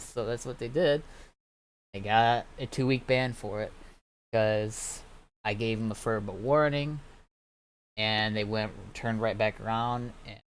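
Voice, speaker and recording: A man's voice: mid-range voice, a bit bright, a little hiss or hum. A man casually telling a rambling, sweary anecdote over voice chat during a game stream. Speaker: game server owner and admin. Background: music.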